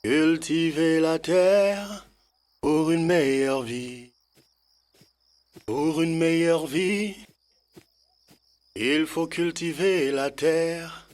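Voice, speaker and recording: An actor playing a kindly farmer who gives slow, instructive advice in an educational children's cartoon dub. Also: mid-range voice, darkish tone. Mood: singsong. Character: kindly farmer working the land, neighbour to the children.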